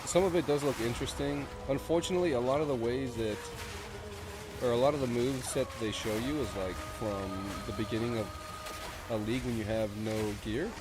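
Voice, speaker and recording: A man's voice: medium pitch, brisk, over music. A male streamer with a cold, sniffly as he gives casual commentary on game previews, thinking aloud and rambling with restarts.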